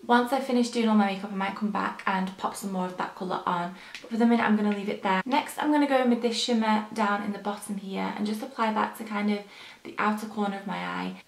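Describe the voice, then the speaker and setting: A young woman chats casually while applying makeup, rambling and filling pauses with ums. Her voice is a little hoarse from the early morning. The sound is roomy and echoey, as in a bare bedroom. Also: medium pitch, steady tone. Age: young adult. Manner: chatty, rambling, frequent ums. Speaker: beauty vlogger.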